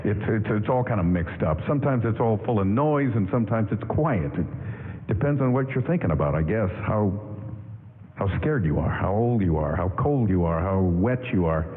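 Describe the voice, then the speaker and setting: An actor playing a shy, jittery young soldier in a 1940s-style radio drama, hesitant and stammering. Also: male voice, fairly low pitch, animated, moderate pace, natural voice. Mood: hesitant, subdued. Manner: hesitant, stammering, repeating words. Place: stage of a large hall. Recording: muffled live radio broadcast in echoey hall.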